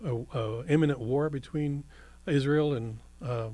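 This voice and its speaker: A man hosting a radio talk show, introducing a guest and taking calls briskly. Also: medium pitch, dark tone, no room sound. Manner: brisk, conversational. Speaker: host.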